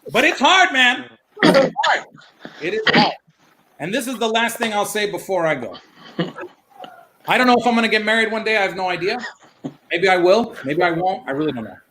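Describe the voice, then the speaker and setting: Man, young adult, from the US, stand-up comedian, quite high voice, storytelling, faint background noise. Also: expressive, sharp tone, poor audio. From United States. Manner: storytelling, acts out his mom's and friend's lines in quick back-and-forth. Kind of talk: stand-up comedy set over a live stream.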